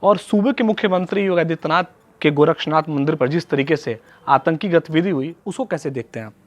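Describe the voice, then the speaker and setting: A man's voice: fairly high pitch, brisk, lo-fi sound. A man working as an interviewer, putting questions in a news interview style.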